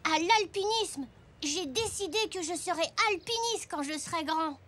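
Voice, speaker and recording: A woman voicing an eager, curious little boy zebra, earnest and expressive, in a French children's cartoon. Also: very high voice, moderate pace, slight background noise. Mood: determined. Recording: music bed behind the voice.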